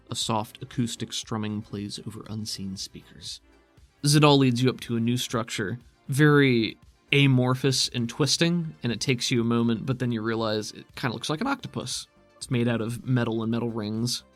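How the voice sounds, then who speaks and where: A man working as game master, narrating scenes in a measured, descriptive way. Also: mid-range voice. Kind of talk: narrating a tabletop roleplaying game.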